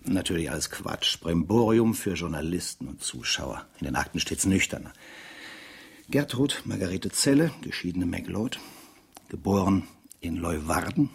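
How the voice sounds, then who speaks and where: An actor playing a dry, sardonic narrator who muses aloud in a conversational radio play style, with a husky, gravelly voice. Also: male voice, low-pitched, full character voice. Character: dry, sardonic male investigator-narrator reading the files.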